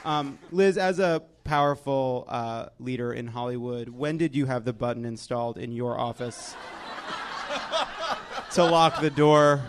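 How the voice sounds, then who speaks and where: A man's voice: mid-range voice, animated, measured pace, crowd noise, background noise. A man hosting a comedic panel podcast, bantering with wry, teasing sarcasm. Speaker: podcast host.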